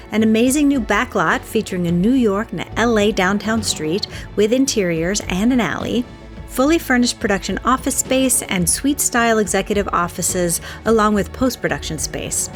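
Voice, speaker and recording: A woman hosting a podcast, speaking in a conversational presenter style. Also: mid-range voice. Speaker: podcast host. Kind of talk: host narration, ad reads and conversational interviewing.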